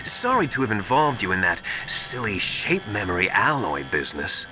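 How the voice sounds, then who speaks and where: An actor playing a smug, mocking villain in a video game cutscene, drawing out words theatrically. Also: male voice, on the low side, sing-song, background noise. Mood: smug, gloating. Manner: drawn-out, gloating, theatrical delivery. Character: scheming villain posing as a trusted mentor, smug and mocking.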